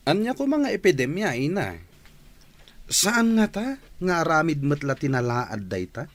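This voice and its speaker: An actor with an Ilocano accent playing a sick young son in a radio drama. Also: male voice, on the high side, big pitch swings, unhurried. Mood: questioning. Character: sick young son, questioning his mother.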